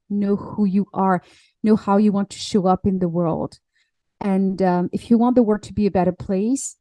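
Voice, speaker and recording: A woman's voice: mid-range voice, warm tone, dry recording. A woman whose first language is French, a podcast guest and alignment coach, talking casually in English with many fillers and restarts. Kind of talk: casual interview chat.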